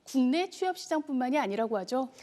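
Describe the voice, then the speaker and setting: A woman's voice: sits high, lively intonation, quick pace, mellow. A woman hosting a news segment, putting polite, scripted questions to a guest in a broadcast interviewing style.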